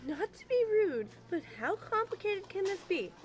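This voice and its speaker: Worried store lady, really high voice, urgent and anxious, radio play. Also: big pitch swings, moderate pace. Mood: exasperated. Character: anxious, fretful female supermarket worker. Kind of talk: audio drama acting.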